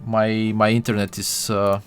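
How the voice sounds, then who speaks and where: A young man from Bulgaria streams a modeling session and chats with his viewers. He stumbles and restarts often and speaks slowly in a smooth, clear voice that sits a little low. Keys tap now and then behind him. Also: monotone, soft background music. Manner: hesitant, many fillers and restarts, talks to his viewers. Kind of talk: casual live-stream chat while modeling.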